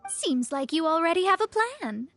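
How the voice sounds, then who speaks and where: High-voiced haughty young master. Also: female voice, very animated, normal speed, a bit bright, full character voice. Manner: haughty, self-assured. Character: proud young woman martial-arts master, haughty but secretly generous. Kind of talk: anime game voice acting. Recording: game music behind the voice.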